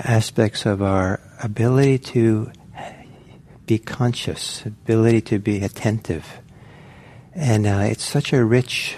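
A man who is a native Norwegian speaker gives a gentle, halting dharma talk as a meditation teacher, leading an online session with many pauses and fillers. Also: lowish voice.

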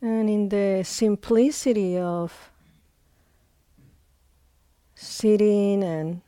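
A woman leading a guided meditation as a teacher, speaking in short phrases with long, unhurried pauses. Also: mid-range voice, fairly even intonation, dark tone, dry recording.

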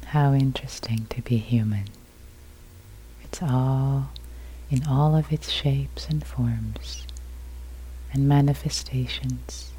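A woman meditation teacher with a soft, husky, breathy voice, guiding a meditation in sparse, unhurried, soothing phrases. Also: rumbling low, fairly even intonation, slight background noise, poor audio. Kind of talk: guided meditation.

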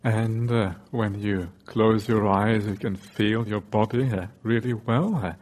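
A man with a fairly low voice leads a guided meditation. He takes his time, giving simple instructions in short phrases and often hesitating with an "uh" before going on. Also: fairly even intonation, darkish tone. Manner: unhurried, frequent hesitant "uh" between short phrases. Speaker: meditation teacher.

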